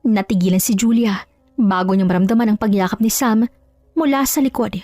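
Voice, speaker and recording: A woman's voice: medium pitch, fairly quick, warm tone, dry recording. A woman storyteller delivering scripted, dramatic narration of a love story. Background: music.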